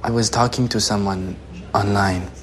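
A man's voice: fairly low pitch, steady tone, lightly acted. An actor playing a shy, frightened teenage boy who speaks hesitantly and stumbles over words. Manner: hesitant, halting speech. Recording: dialogue over series music.

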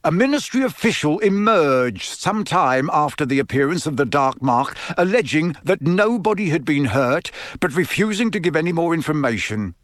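A man voicing a weary, measured middle-aged father who works as a civil servant, in a storybook reading. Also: fairly high pitch, big pitch swings, fairly bright tone, no room sound, pitched above the performer's own voice. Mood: weary exasperation.

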